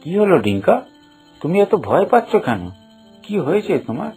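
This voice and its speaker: Lady doing a worried young husband in a cartoon, low voice, gentle, bit of music behind. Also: sing-song, brisk. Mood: concerned. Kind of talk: animated fairy-tale voice acting.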